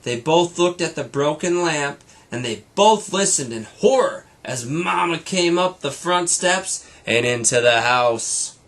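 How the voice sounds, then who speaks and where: A man's voice: a little high, expressive, measured pace, few pauses, a bit bright. A man, a teacher, reading a children's storybook aloud to students with casual little asides.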